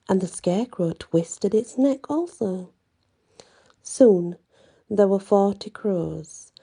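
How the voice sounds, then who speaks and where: A woman reading a story aloud in an ASMR bedtime style, with halting, deliberate phrasing. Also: lowish voice, creaky, warm tone, breathy edge.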